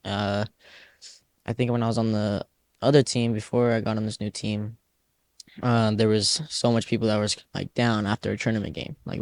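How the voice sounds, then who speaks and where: A teenage boy with a low voice and a gravelly rasp. He is a young soccer player answering questions in a relaxed, wandering way, dropping "like" into almost every phrase. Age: teens. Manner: loose, rambling, lots of "like" fillers. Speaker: youth soccer player, guest. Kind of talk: casual interview answers.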